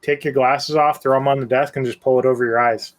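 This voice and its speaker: A man's voice: mid-range voice, fairly even intonation, fast talker. A man in his early thirties chatting easily about shirts and beanies on a podcast. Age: 30s. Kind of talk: casual chat.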